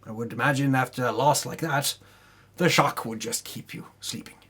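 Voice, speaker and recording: A man's voice: mid-range voice, normal speed, fairly bright tone, breathy edge. A man narrating a tabletop campaign recap as a dramatic storyteller, with theatrical delivery and casual asides.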